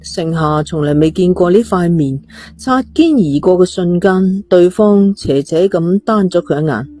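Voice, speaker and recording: A woman's voice: fairly low pitch, lively intonation, measured pace, mellow. A woman reading a scripted story as an audiobook narrator.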